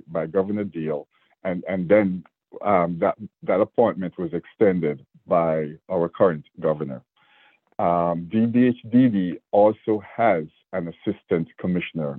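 A man in his sixties with a Jamaican accent, a state division director speaking earnestly and deliberately in a radio interview. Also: sits low, no echo. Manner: earnest, measured, with restarts. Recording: dry, phone-like radio line.

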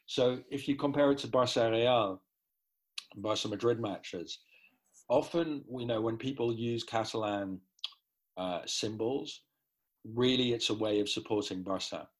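A man's voice: mid-range voice, unhurried. A middle-aged man giving an articulate, analytical explanation as an interview guest. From grew up in the Netherlands. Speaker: interview guest, football writer.

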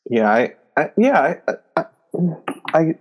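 A man from New Jersey with a New Jersey accent, chatting casually in a blunt, sarcastic way with halting restarts. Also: on the high side, sing-song. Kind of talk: casual podcast chat.

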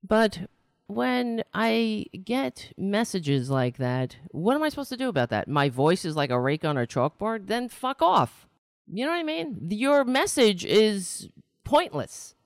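A woman's voice: medium pitch, sing-song, measured pace, dry recording, studio quality. A woman from New York City hosting a political livestream show, talking casually and launching into an exasperated, sarcastic rant. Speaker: host of a political talk show.

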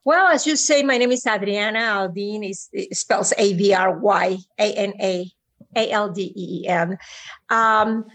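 A middle-aged woman from Mexico with a Mexican Spanish accent, a podcast guest telling her story over a remote call, with frequent pauses and restarts. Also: medium pitch, fairly bright tone. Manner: halting, many ums and restarts. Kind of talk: personal story in an interview.

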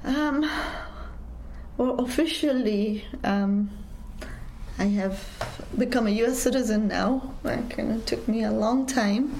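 A woman answering an interview in a measured, thoughtful way, now and then dropping into soft, whispery stretches. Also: fairly high pitch, creaky, slight background noise. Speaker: interviewee, community worker.

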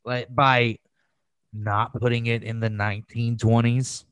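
A man's voice: mid-range voice, flat delivery, measured pace, a bit bright, smooth, dry recording. A man with an American accent chatting casually as a podcast co-host, informal and freely swearing. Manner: informal, profane, conversational. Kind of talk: casual chat.

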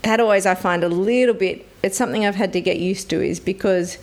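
This is a woman in her thirties with a youthful, light voice, talking casually as an interview guest. Her pitch sits in the middle for a woman, and she keeps things lively by dropping into quick playful voices when she quotes people. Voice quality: young-sounding voice. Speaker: children's entertainer guest.

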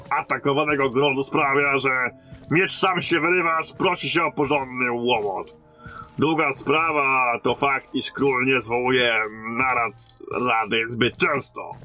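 An actor playing a battle-hardened, blunt and commanding lieutenant in a fantasy audio drama. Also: male voice, fairly high pitch, sharp tone. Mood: blunt, frustrated.

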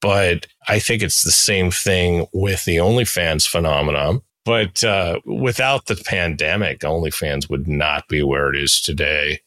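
A man in his sixties with a deep voice, chatting casually as a podcast host. He talks in a rambling, anecdotal way. The sound is dry and close, free of room echo. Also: measured pace, hardly any pauses, sharp tone. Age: 60s. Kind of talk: casual interview chat.

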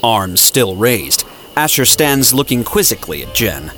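A man's voice: medium pitch, sing-song, a little slow, fairly bright tone. A man reading scripted, dramatic story narration for an audio drama. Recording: music playing behind the voice.

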